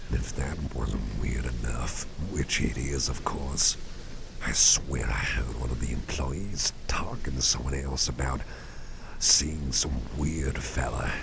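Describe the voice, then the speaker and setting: A man playing a caring, rambling boss in a gentle ASMR roleplay, speaking in a breathy, gravelly whisper with folksy wording. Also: a bass voice, noisy background, exaggerated voice. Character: caring, rambling, soothing male boss at home.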